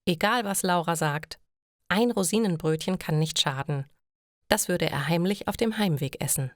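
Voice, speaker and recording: A woman narrating a short scripted story for language learners, reading carefully and clearly. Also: fairly low pitch, normal speed, no room sound, clean studio sound. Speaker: narrator of German listening lessons.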